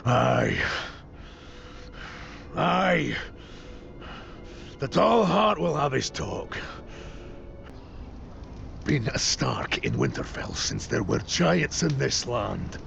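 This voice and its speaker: A male actor playing a proud, gruff, battle-hardened clan lord with blustering, archaic speech in a fantasy game. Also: mid-range voice, big pitch swings, very slow, long pauses, a bit bright, muffled recording, full character voice. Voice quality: gravelly.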